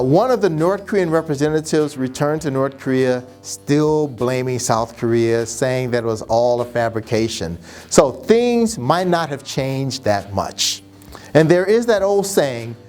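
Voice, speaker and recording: Male podcast host for scripted commentary, mid-pitched, punching key words and posing rhetorical questions, over faint music. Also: a little mellow.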